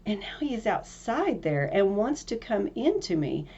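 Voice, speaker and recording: A woman's voice: mid-range voice, very animated, moderate pace, darkish tone, breathy edge, lo-fi sound. A woman reading a fairy tale as a spoiled young princess, disdainful and easily frightened. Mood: anxious, reluctant.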